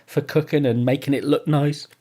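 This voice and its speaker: A man's voice: fairly high pitch, steady tone, moderate pace, dark tone. A middle-aged man, a podcast host chatting casually in a jokey manner. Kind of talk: casual chat.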